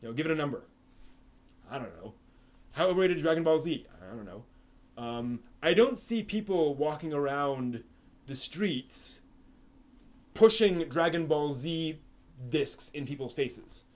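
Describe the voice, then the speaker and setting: A man's voice: a little high, expressive, a little slow, pauses often, a little mellow. A man hosting a live stream, chatting casually and answering viewer questions, with lots of fillers and restarts. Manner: rambling, frequent fillers and restarts.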